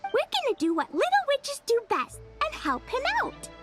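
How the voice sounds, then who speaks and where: A woman voicing a cheerful, bubbly, excitable little girl who plays at being a witch in a video game. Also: very high-pitched, normal speed, heavily acted. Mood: eager. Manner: bubbly, childlike, exclamatory. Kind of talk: video game character acting. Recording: game music behind the voice.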